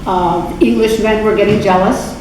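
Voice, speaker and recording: A woman giving an informal history talk to an adult church class, unhurried, with many fillers and self-corrections. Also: mid-range voice, fairly even intonation. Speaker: guest speaker at adult faith class. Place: church meeting room. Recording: very echoey, distant, noisy room recording.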